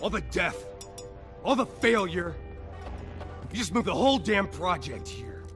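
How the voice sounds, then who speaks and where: Volatile rogue soldier, wildly swinging pitch. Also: male voice, sing-song, unhurried, fairly bright tone, soft background music, exaggerated voice. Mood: enraged. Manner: intense, emphatic, confrontational. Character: obsessed, volatile soldier gone rogue, adult man, menacing. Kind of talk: dramatic video game cutscene acting.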